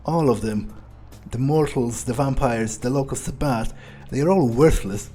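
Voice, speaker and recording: A man's voice: medium pitch, moderate pace, mellow, slightly rough, soft background music, natural voice. A man voicing a cocky, cruel, foul-mouthed vampire as in-character commentary on a video game, drawling and sardonic as he muses aloud. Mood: musing, contemptuous. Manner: drawling, sneering, musing.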